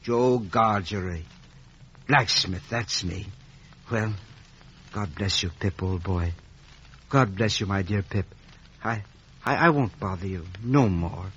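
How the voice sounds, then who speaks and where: An actor playing a humble, bashful working-class blacksmith in an old radio drama, halting and deferential. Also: male voice, fairly low pitch, fairly bright tone, slight background noise. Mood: sad, tender. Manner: halting, deferential, rustic speech. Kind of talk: 1950 radio drama acting. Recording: muffled vintage radio broadcast recording.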